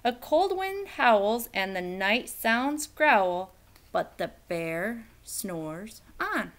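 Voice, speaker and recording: A woman reading a children's picture book aloud in an expressive, theatrical, emphatic storytelling style. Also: mid-range voice, very slow.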